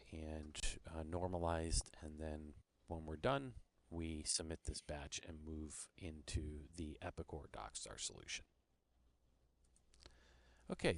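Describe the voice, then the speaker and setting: Deep-voiced man giving a software walkthrough. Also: creaky. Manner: explanatory, step-by-step. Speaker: software demo presenter. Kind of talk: informal screen-share product walkthrough.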